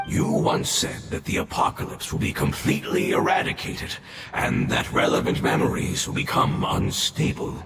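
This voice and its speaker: An actor voicing a dying ancient creature in a game, solemn and weary, with a gravelly voice. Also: male voice, full character voice. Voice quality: gravelly, very deep. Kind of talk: game voice acting. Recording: echoey with game music behind.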